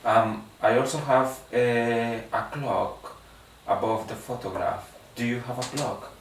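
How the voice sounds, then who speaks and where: A slow, hesitant man, pausing on fillers as he answers exam questions in English. His voice sounds roomy, with a strongly echoing space around it. It is picked up from some distance, with faint background noise. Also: mid-range voice, fairly even intonation. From Guatemala. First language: Spanish. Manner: hesitant, with many uh fillers and restarts. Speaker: exam candidate.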